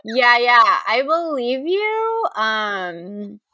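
A woman's voice: fairly high pitch, sing-song, extremely slow, pushed and bright, no echo. A woman co-hosting a comedy podcast in casual banter, exclamatory and incredulous, often repeating her words. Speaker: podcast co-host guessing song titles.

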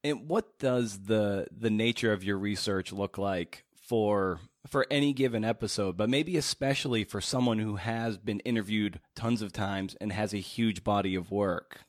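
Man, podcast interviewer, casual, hesitant phrasing, lowish pitch, very dry close sound. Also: no echo. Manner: hesitant, halting questions with repeated words.

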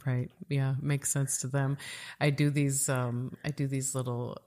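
Very low-voiced woman podcast host. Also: level tone, measured pace, darkish tone. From United States. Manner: rambling, frequent fillers and restarts. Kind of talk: casual interview chat.

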